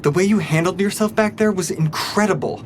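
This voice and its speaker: A man's voice: high-pitched, brisk, slight background noise, close to an everyday voice. A man playing an eager rookie police officer in an audio drama, speaking in an earnest, awestruck way. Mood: admiring. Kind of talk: scripted audio drama acting.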